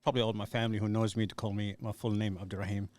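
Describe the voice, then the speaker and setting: Earnest Somali-born man, smooth voice. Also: on the low side, level tone, very fast, darkish tone, no room sound. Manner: earnest, hesitant storytelling with many fillers. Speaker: guest. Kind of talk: casual podcast interview.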